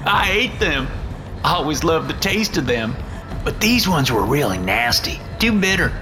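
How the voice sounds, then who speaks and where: A man voicing a dazed, dying young researcher who talks slowly and weakly, with music behind. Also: on the high side, big pitch swings, pitched above the performer's own voice, poor audio. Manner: slow, weak and drowsy.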